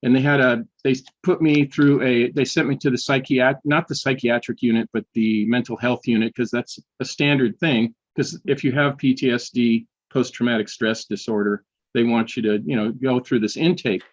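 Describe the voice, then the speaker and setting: An older man, a history professor, telling personal stories in a casual interview, often restarting sentences and filling pauses. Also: mid-range voice, steady tone, highs cut off. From United States. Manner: halting, many restarts and fillers.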